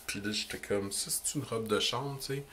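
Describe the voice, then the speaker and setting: A young man with a Québécois French accent, ranting indignantly into the camera about politics in quick, emphatic bursts. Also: lowish voice, sharp tone. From Quebec. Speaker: vlogger. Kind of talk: heated political rant.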